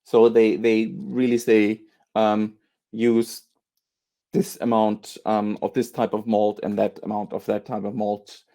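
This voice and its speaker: A man with a low, mellow voice answers interview questions. He talks in a flat, even tone. He halts often, repeating words and filling gaps with ums. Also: unhurried. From Austria. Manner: hesitant, many fillers and repeated words. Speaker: beer author and historian, guest.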